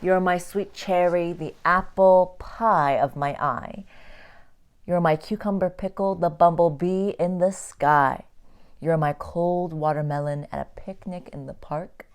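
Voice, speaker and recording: A woman with a low voice reads a children's storybook aloud at a slow pace. She phrases each line deliberately and expressively, the way a teacher does for young listeners. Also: fairly even intonation, smooth. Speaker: teacher reading a picture book aloud.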